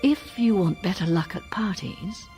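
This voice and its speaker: An actress playing a sharp, cool-headed young space traveller, assertive and probing, in a radio comedy. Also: female voice, lowish voice, unhurried, soft background music. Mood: urgent, knowing. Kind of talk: radio comedy drama acting.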